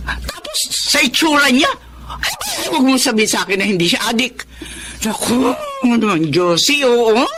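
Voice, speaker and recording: A woman's voice: a little high, fairly bright tone. An actress playing a stern, disapproving mother who nags and interrogates in a radio drama. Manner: nagging, interrogating, emphatic. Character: stern, disapproving, scolding mother of a grown daughter. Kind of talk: Filipino radio drama acting.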